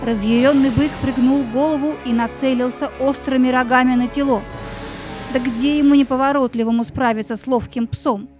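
Female narrator for a children's tale: high, soft-toned, suspenseful, with faint music underneath. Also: moderate pace. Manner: expressive, suspenseful. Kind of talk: dramatic scripted storytelling.

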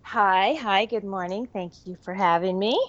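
A woman's voice: mid-range voice, steady tone, a little slow, dry recording, highs cut off. A woman from Germany, a makeup artist guest, chatting casually with laughs and frequent ums over a call. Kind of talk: casual interview chat.

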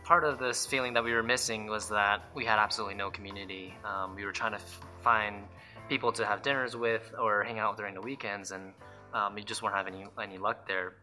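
A man's voice: lowish voice, steady tone, quick pace, bright, forceful tone, soft background music. A young adult man from Nevada, born in South Korea, sincerely sharing his testimony in an interview, with fillers and small restarts. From Reno, Nevada, born in South Korea. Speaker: church member giving testimony. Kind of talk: interview testimony for a church video.

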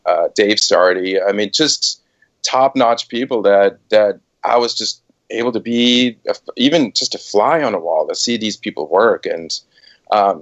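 A man's voice: lowish voice, highs cut off. A middle-aged man, a music producer, telling his career story in a casual podcast interview, hesitant with many fillers. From Switzerland.

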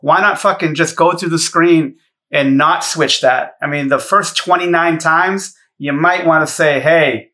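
Man in his thirties, podcast co-host, sharp, bright voice, blunt, sweary rants. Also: on the high side, a little slow. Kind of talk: profane sports podcast banter.

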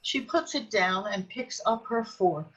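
A woman reading scripted narration for a radio drama in a measured storytelling manner. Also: medium pitch, expressive, breathy edge, highs cut off.

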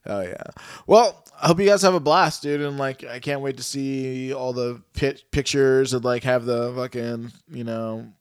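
A man with a stuffy, nasal voice hosts a podcast in easygoing, joking chat. His pitch sits mid-range for a man, and the sound is dry and close, with no room around it. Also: a little slow, clean tone, no echo. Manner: laid-back, joking, swears casually. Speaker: podcast host. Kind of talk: casual chat.

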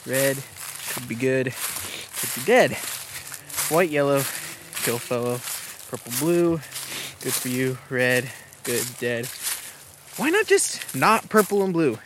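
A man's voice: mid-range voice, very animated, slow, long pauses, background noise. An actor playing a wary, nervous man in a naturalistic audio drama, talking in a casual, hesitant mutter. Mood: focused muttering. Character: wary, nervous man called Doc, lost outdoors.

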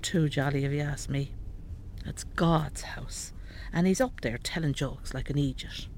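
A woman playing an elderly, nagging, devout Irish mother in a radio drama, sharp-tongued and scolding. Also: sits low, measured pace, whispered. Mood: grumbling. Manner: scolding, bossy. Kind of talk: naturalistic radio drama acting.

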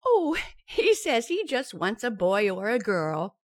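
A woman's voice: on the high side, huge pitch swings, slow, dry recording, studio quality, full character voice. An actress playing an anxious, grateful young expectant mother in an old-time radio sitcom, sweet and hesitant.

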